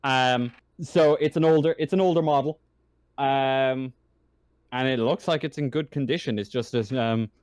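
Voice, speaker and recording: A man acting as game master, casually narrating a tabletop roleplaying game in a halting way, searching for words. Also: mid-range voice, darkish tone, dry recording.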